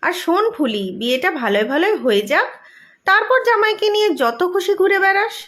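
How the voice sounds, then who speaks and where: A woman's voice: extremely high pitch, lively intonation, brisk, a bit bright. A woman voicing a bossy cartoon mother and exasperated wife, scolding, exclaiming and ordering everyone about. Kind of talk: cartoon voice acting.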